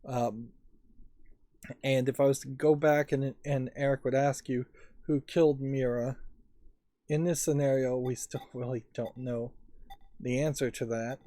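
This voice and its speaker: Male game streamer, mellow tone, unhurried pace, musing and tentative as he thinks aloud. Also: medium pitch. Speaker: let's play host.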